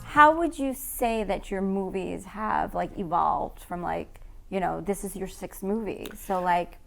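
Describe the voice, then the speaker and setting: A woman's voice: mid-range voice, unhurried, creaky, fairly bright tone, soft background music. A woman hosting an interview in a casual, conversational way. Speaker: interview host. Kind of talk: casual chat.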